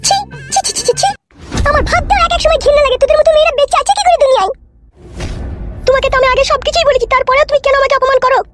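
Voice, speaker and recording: A woman's voice: very high voice, rapid-fire, pauses often, soft background music. A woman playing an indignant, devoted young woman in a comic cartoon, scolding emphatically.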